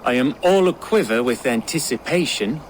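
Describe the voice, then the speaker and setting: A man's voice: medium pitch, lively intonation, moderate pace, slight background noise, close to an everyday voice. A man voicing a wry young former zealot. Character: dry-witted young male former zealot.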